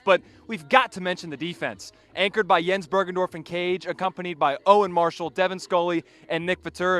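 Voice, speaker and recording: A man's voice: high-pitched, fairly bright tone. A young man working as a sports reporter, delivering a prepared stand-up report.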